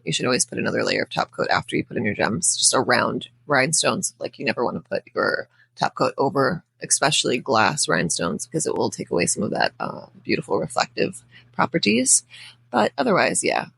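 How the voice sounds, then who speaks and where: A woman hosts a casual how-to voice-over in a crackly, under-the-weather voice. Her pitch moves in a lively way as she rambles informally through asides. The recording is close and dry. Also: fairly quick, no room sound. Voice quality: crackly, raspy. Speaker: nail art tutorial host.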